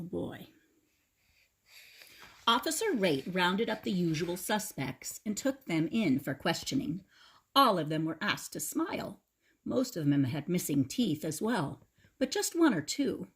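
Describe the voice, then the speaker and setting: A woman's voice: lowish voice, husky. A woman reading a picture book aloud to young children, narrating expressively and adding chatty asides.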